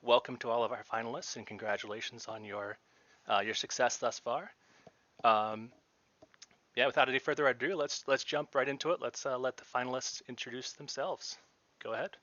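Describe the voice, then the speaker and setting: A man's voice: mid-range voice, steady tone, brisk, bright, forceful tone, no echo, lo-fi sound. A man hosting a livestreamed board game tournament, casual, with fillers and restarts. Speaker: tournament host.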